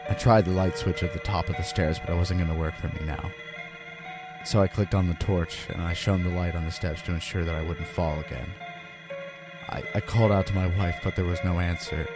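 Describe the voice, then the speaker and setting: A frightened, haunted father, played by a man, recounts his ordeal as a horror story. His voice is on the low side, grave and dramatic, with deliberate phrasing. Music sits clearly behind it. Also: lightly acted. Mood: tense fear. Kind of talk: dramatic first-person horror narration. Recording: loud music under voice.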